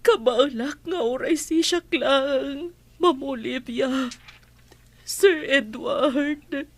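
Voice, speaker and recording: Slow, high-voiced grieving Ilocano nanny played by an actress. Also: female voice. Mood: pleading. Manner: tearful, slow, pleading. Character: grieving older nanny, humble and pleading. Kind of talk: Ilocano radio drama acting. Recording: music under the voice.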